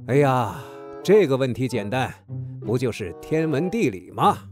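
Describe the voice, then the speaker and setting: An actor playing a teasing, chuckling old wind god in a children's audio drama. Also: male voice, medium pitch, sing-song, a little slow, over music. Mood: amused.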